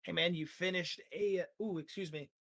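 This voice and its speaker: A man who is losing his voice, interviewing drivers after a sim race with energetic, rambling talk full of fillers. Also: high voice, normal speed. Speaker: sim racing league broadcaster.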